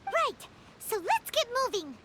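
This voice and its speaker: A woman playing a tiny, childlike fairy companion in a video game, squeaky, excitable and feisty. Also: a little slow, slight background noise, exaggerated voice. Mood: eager, curious.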